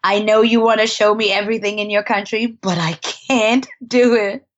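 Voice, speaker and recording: A woman's voice: medium pitch, fairly even intonation, moderate pace. Casual woman rambling through travel stories, laughs a lot, kinda muffled lo-fi audio. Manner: chatty, laughs often, many fillers and restarts. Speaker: interview guest, traveler. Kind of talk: casual conversational storytelling.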